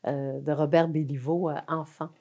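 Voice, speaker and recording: Casting: Québécois woman host, husky low voice, friendly, hesitant phrasing. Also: fairly even intonation, normal speed, mellow. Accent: Québécois French. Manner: friendly, filler-heavy questioning. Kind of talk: talk show interview.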